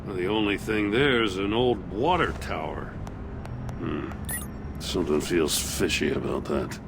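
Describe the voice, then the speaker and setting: Older police chief, fairly low voice, gruff, world-weary, principled, video game drama, clear vehicle noise behind. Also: male voice, expressive, a little slow, heavily acted. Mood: weary, wry.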